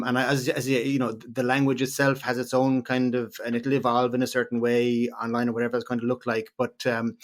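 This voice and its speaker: A man, hosting an interview podcast, speaking hesitantly with many fillers and repeated words. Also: medium pitch. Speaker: podcast host. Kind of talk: interview conversation.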